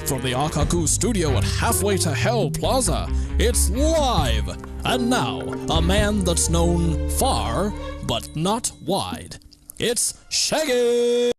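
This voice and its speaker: Male show announcer, high voice, slow grand theatrical build, loud music bed. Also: sing-song. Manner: theatrical, drawn-out. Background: loud intro music.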